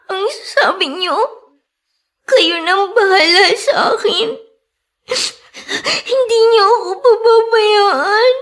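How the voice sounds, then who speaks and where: A woman playing a tearful young girl who pleads haltingly in a Filipino radio drama. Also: extremely high pitch, fairly bright tone, full character voice. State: crying.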